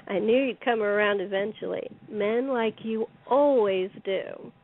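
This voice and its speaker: A woman's voice: mid-range voice, takes it slowly. A woman playing a cunning, taunting con artist and blackmailer in an audio play, with a theatrical, gloating delivery. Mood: mocking. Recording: thin phone-like line.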